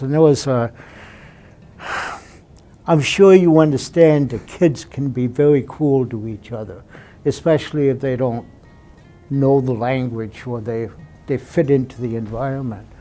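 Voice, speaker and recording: A man in his seventies, born in Denmark, telling his life story in an oral history interview, unhurried and conversational with frequent fillers. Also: medium pitch. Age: 70s. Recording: soft guitar music underneath.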